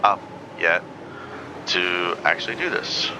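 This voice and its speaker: A man with a very low voice talks loosely through a racing game, circling back on his own sentences and filling gaps with ums. Loud car engines roar the whole time under his words. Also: animated, slow, background noise. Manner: rambling, chatty, many ums and restarts. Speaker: gaming channel host. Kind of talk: casual gameplay commentary.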